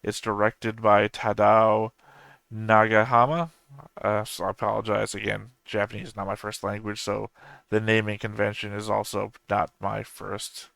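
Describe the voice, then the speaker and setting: Gravelly low-voiced man, rambling casually. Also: little pitch movement, normal speed, fairly bright tone, dry recording. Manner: off-the-cuff, self-correcting, dry humor. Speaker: anime reaction channel host.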